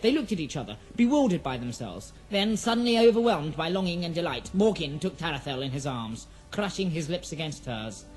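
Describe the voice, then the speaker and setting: A man's voice: fairly high pitch, sing-song, fairly quick, hardly any pauses, a little mellow, faint music behind, poor audio. A man narrating a scripted fantasy audio drama in a solemn, literary storytelling manner.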